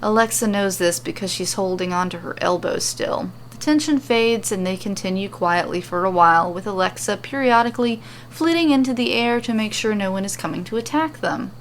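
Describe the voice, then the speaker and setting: A woman's voice: mid-range voice, fairly quick, few pauses. Woman narrating a fantasy story over faint noise. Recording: faint background noise and ambience. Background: ambient sound effects.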